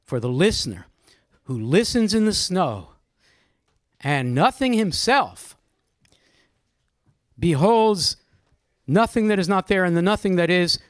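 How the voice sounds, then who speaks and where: A man leading a poetry discussion in a lively, questioning and encouraging seminar style. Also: high voice, a little slow, long pauses. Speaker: discussion leader. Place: live group event with audience microphones.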